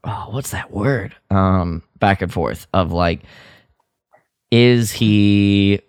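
A man co-hosting a podcast in casual review chat, halting as he thinks aloud and gives his opinions. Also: on the low side, a little mellow, clear, resonant tone, no echo, clean studio sound. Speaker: podcast co-host. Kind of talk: casual review chat about a radio drama.

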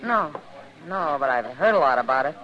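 A woman playing a worldly, warm-hearted saloon hostess in a radio western, with an American accent and a frank, familiar manner. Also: low-pitched, animated, normal speed. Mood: concerned. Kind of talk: 1950s radio western acting. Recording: dull old radio recording, saloon crowd murmur.

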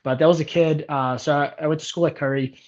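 A man's voice: fairly high pitch, steady tone, fast, a little mellow. Casual man from Portugal, slightly dull audio. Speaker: interview guest, business owner. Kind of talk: casual chat, personal storytelling.

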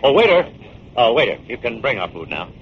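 An actor playing a confident, shrewd amateur detective in a 1940s radio drama, with a wry and persuasive manner. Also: male voice, medium pitch, very animated, a little fast. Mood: explanatory. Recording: muffled, noisy 1940s radio recording.

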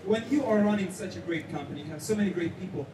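A man's voice: high voice, fast talker, noisy background. A man from Ukraine, an audience member at a live talk, asking an enthusiastic, rambling question. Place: large event hall with audience. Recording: echoey hall, distant mic, crowd.

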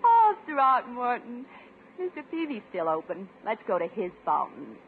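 A woman's voice: on the high side, huge pitch swings, measured pace. An actress playing a refined, flirtatious lady on a date, gushing and coaxing in 1950s radio sitcom style. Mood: sweetly coaxing. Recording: noisy, narrow-band 1950s radio broadcast.

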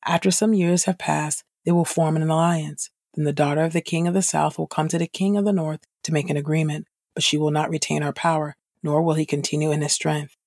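Woman reading scripture, evenly pitched and dry. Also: fairly even intonation, fairly quick, few pauses, darkish tone, dry recording. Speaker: podcast host and scripture reader.

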